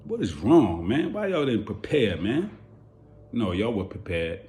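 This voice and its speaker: Hoarse man ranting about boxing. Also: on the low side, sing-song, a little slow, mellow, faint music behind. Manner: heated, repeating rhetorical questions. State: hoarse from yelling. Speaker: boxing channel host. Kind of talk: animated boxing commentary rant.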